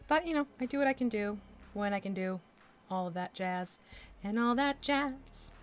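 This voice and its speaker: A woman from Texas chatting casually on a livestream as she paints miniatures, rambling with asides and little laughs. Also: medium pitch. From Texas, USA. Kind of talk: casual chat while working.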